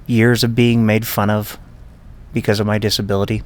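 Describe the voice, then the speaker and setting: A man's voice: fairly low pitch, little pitch movement, moderate pace, clean tone. A man narrating his own life story in an earnest, heartfelt way, speaking directly to his listeners. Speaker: podcast host. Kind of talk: reflective personal narration.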